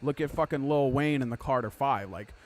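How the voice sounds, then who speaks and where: A man's voice: medium pitch, fairly quick, darkish tone, slight background noise. A man in his thirties hosting a podcast, chatting casually in an emphatic, profane way and giving advice. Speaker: podcast host.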